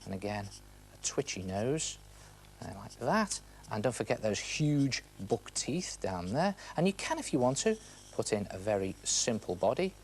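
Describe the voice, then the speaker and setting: A man's voice: mid-range voice, very animated, a little slow, slight background noise. A man with a British accent presents a step-by-step drawing demonstration for children, chatting to the viewer and asking questions with playful emphasis. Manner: chatty, asks the viewer questions and answers them. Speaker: presenter of a children's art TV show.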